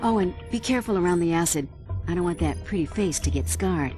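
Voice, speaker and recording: A woman's voice: lowish voice, animated, fairly quick, over music, close to an everyday voice. Woman playing a poised spy mission briefer. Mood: briefing. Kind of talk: video game mission briefing.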